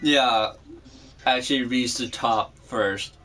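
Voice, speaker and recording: A man's voice: mid-range voice, slow pace, pushed and bright, soft background music. A man chatting casually over a remote call about comics and games, loose and joking, laughing easily. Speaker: podcast co-host.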